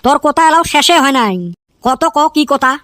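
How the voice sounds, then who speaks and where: A woman's voice: sits high, fairly bright tone, no room sound, clean studio sound. A woman voicing an exasperated village schoolteacher in a comedy cartoon, grumbling and scolding scornfully in a Rajbongshi accent. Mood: impatient. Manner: grumbling, scornful, quick to insult pupils. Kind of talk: Bengali comedy cartoon dubbing.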